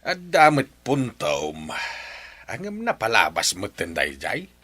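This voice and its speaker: A stern elderly grandfather with an Ilocano accent, voiced by a man. His voice is low with a bright edge, and his gruff, dismissive lines swing sharply in pitch. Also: huge pitch swings, slow pace, dry recording, exaggerated voice. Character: stern older grandfather, husband.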